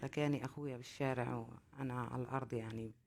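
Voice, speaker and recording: A woman with a deep, low-pitched voice tells a panel about the loss of her son. She speaks haltingly, full of grief, yet her words tumble out rapidly between the pauses. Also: steady tone, a little mellow. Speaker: panel guest, bereaved mother. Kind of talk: speaking through an interpreter.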